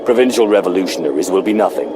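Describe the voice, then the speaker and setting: An actor playing a commanding, cold Sith lord in a video game, measured, formal and imperious. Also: male voice, deep voice, expressive, long reverb tail, background noise. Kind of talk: video game cutscene acting.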